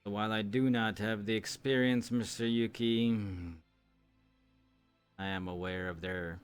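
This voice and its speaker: A man playing a grave, formal town authority in an improvised fantasy roleplay, speaking in a measured, ominous way. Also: on the low side, fairly even intonation, smooth, natural voice. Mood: grim, calm. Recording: music behind the voice. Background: music.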